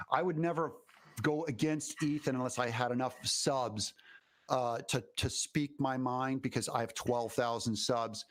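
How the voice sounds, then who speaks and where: A man speaking off the cuff as a live stream guest, defending himself in a halting, rambling way. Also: medium pitch. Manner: halting, rambling, self-interrupting. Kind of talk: unscripted live argument.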